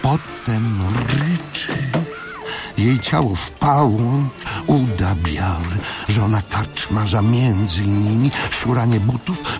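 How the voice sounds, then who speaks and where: A man narrates literary fiction with a very slow, deliberate delivery. His voice is creaky and swings widely in pitch as he breaks the story into short, dramatic phrases. Music plays behind him. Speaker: audiobook narrator.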